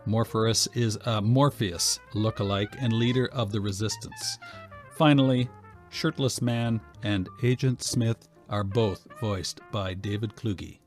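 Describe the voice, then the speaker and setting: A man delivers a scripted announcement in a presentational manner. His voice sits a little low and moves in measured phrases, with light music underneath. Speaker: announcer introducing the cast. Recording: faint music behind.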